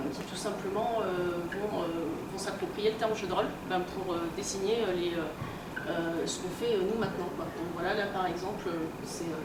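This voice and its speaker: A woman gives an informal explanatory lecture at a convention, hesitant and colloquial, often correcting herself. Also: mid-range voice. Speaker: conference speaker, researcher and editor. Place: live talk in a room at a convention. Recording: roomy, distant, noisy live conference recording.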